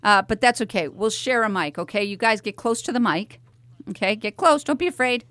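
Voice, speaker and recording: A woman's voice: medium pitch, lively intonation, fairly quick, fairly bright tone, dry recording. Chatty woman hosting live radio, dry studio sound. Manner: chatty, encouraging, informal. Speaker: radio host. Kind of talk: casual live studio chat with guests.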